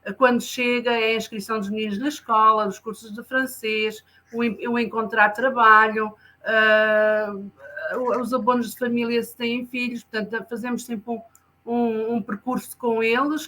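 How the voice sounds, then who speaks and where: A woman from a community centre explaining its services in an interview, in a halting way with many restarts. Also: on the high side, sharp tone. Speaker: community centre social worker.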